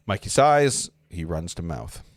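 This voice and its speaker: A man's voice: low-pitched, very animated, unhurried, dry recording. A man reading stage directions at a live table read, informal and joking, sometimes stumbling over his lines. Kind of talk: live script reading with casual asides.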